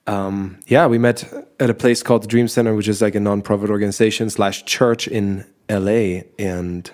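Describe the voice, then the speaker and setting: A man, co-hosting a podcast in a relaxed, rambling chat. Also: lowish voice, normal speed. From Germany. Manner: relaxed, rambling with restarts and laughs. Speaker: podcast co-host. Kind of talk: casual chat.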